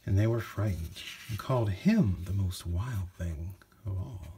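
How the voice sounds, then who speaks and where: A man with a husky voice narrating a children's storybook from a script. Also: sits low, measured pace, warm tone, a little hiss or hum. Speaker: storybook reader.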